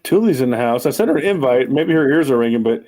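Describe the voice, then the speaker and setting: A man's voice: mid-range voice, expressive, fast, a little mellow. Forties male stream host, loose and self-deprecating, cusses freely, rambles on. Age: 40s. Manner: rambling, swearing, self-mocking. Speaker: livestream host. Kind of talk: casual livestream chat.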